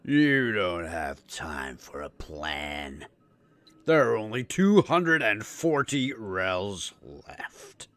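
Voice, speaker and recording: Very slow, low menacing alien commander. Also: male voice, very animated, a bit bright, no room sound, exaggerated voice. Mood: stern. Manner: grave, slow, declamatory. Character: menacing alien warrior commander demanding repayment. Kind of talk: sci-fi audio drama acting.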